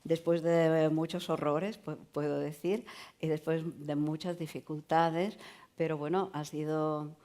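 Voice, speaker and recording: A woman writer whose first language is not Spanish speaks on a literary panel. Her voice sits fairly low for a woman. She feels her way through each thought with pauses and filler sounds. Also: steady tone, mellow. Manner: hesitant, many pauses and fillers. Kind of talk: conversational panel talk.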